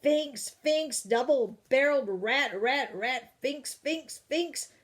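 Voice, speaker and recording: A woman's voice: high-pitched, expressive, slow, pitched above the performer's own voice. A woman voicing an angry, blustering father in a storybook reading, sputtering with indignation. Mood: furious.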